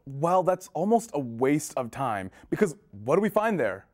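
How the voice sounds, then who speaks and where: A woman's voice: deep voice, big pitch swings, normal speed, a little mellow. A woman playing a flippant, puzzled student in a scripted instructional play. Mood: puzzled, protesting. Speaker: readers theater performer. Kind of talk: scripted instructional stage dialogue.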